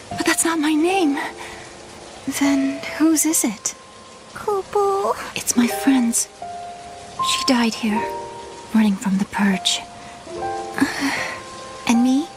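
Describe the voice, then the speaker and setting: A young female adventurer with a high, breathy voice. She is earnest and haunted in the manner of game drama, with loud music swelling behind her. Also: slow, pauses often, low-quality recording. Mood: haunted, sorrowful. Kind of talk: video game cutscene acting.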